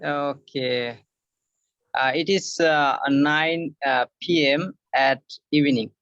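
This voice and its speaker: A man's voice: on the high side, steady tone, slow pace, fairly bright tone. Hesitant man on dull call audio. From Bangladesh. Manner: hesitant, many uh fillers. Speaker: meeting participant. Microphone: video call.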